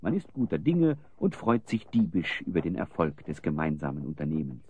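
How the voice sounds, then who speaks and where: A man's voice: very deep voice, sing-song, a little fast, a little mellow, slightly rough. A man narrating a German audio drama in a scripted, theatrical storytelling style.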